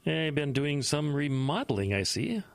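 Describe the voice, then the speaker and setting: Gravelly, cautious, concerned churchgoer. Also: male voice, fairly high pitch, sing-song, moderate pace, no echo. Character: concerned, cautious male church acquaintance, probing gently. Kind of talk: audio drama acting.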